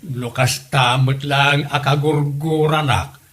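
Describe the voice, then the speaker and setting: A man's voice: mid-range voice, steady tone, takes it slowly, fairly bright tone. A man playing an older Ilocano father, earnest and easily hurt, in a comedy drama. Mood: hurt, pleading. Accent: Filipino, Ilocano. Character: older father, wounded, earnest suitor to his in-law.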